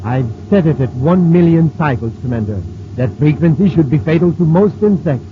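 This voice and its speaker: An actor playing a measured, helpful scientist in an old-time radio sci-fi serial, speaking precisely. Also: male voice, a little high, expressive, narrow, tinny audio, close to an everyday voice. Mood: calm, precise. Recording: thin, noisy old radio broadcast recording.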